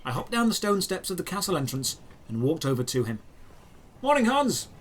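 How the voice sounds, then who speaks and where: A man narrating a scripted first-person fantasy story in a measured, descriptive storytelling manner. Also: on the high side, very animated.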